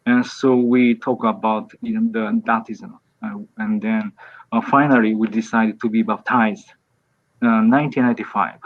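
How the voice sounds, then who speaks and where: A man's voice: lowish voice, steady tone, normal speed. A man in his fifties from Japan speaking English with a Japanese accent, a priest answering interview questions hesitantly with many fillers. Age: 50s. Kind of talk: video call interview. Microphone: video call. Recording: tinny phone-like call audio.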